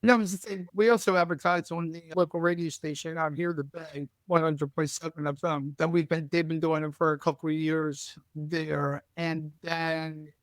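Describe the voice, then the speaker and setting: A woman with a deep voice, chatting as a guest on a podcast and telling stories in a friendly, talkative way. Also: steady tone, moderate pace, hardly any pauses, no echo. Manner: chatty, tells anecdotes freely. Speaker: shop staff member and guest. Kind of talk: casual podcast chat.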